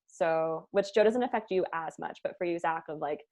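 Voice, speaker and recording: A woman's voice: lowish voice, normal speed, a little mellow. A woman leading a cast meeting in casual, rambling talk with an informal, jokey manner. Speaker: show creator leading a cast table-read meeting. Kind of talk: casual chat.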